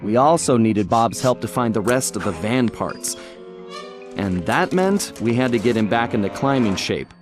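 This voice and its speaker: Actor as a wry cartoon master-thief narrator over music. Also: male voice, medium pitch, animated, moderate pace, close to an everyday voice. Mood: determined. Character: suave, wry male master-thief hero of a cartoon game. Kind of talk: scripted first-person story narration.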